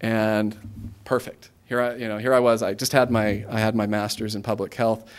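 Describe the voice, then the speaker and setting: An American man in his thirties speaks informally, as a guest addressing students. His voice sits a little low, and his delivery is conversational and halting, with frequent self-interruptions and restarts. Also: little pitch movement, clean tone. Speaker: guest speaker, government aid regional coordinator. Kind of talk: informal career talk to students.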